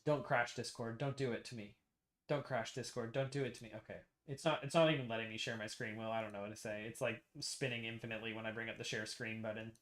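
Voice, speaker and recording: A man's voice: medium pitch, steady tone, quick pace, a bit bright. A young American man chatting casually on a livestream, talkative and repeating himself as he good-naturedly argues a point. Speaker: streamer and ROM hacker.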